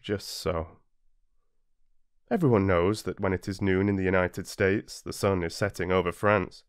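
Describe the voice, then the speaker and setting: A British man narrating a storybook as an audiobook, reading expressively and deliberately with dramatic pauses. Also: deep voice, clear, resonant tone, no echo, clean studio sound. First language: English. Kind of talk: scripted storybook narration, read-along.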